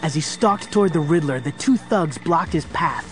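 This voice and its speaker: A man as suspenseful superhero-story narrator, slightly high. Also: animated, moderate pace, natural voice. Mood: dramatic. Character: dramatic male storyteller of a superhero adventure. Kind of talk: scripted dramatic narration. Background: dramatic music.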